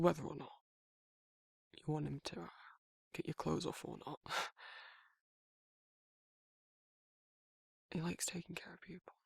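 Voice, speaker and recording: Casting: man to act as tender, guarded male werewolf for ASMR roleplay; slightly high-set but husky voice, hesitant, rambling delivery. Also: no room sound, exaggerated voice. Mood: earnest, reassuring. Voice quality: husky, breathy, gravelly. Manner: hesitant, halting, rambling, informal. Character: guarded, soft-hearted male werewolf shyly confessing affection. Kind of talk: intimate ASMR roleplay monologue.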